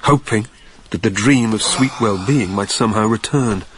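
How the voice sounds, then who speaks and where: Actor as a low-voiced, weary male narrator. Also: moderate pace, noisy background, lightly acted. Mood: wry weariness. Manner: literary, wry phrasing. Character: weary, anxious hotel guest narrating his own ordeal. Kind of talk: dramatic first-person narration.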